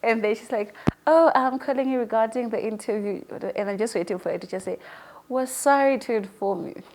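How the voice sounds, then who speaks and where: A woman's voice: fairly high pitch, brisk, darkish tone, a little hiss or hum. A woman in her early twenties talking casually as an interview guest, telling personal stories in a chatty way. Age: early 20s. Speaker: newly appointed online show presenter being interviewed.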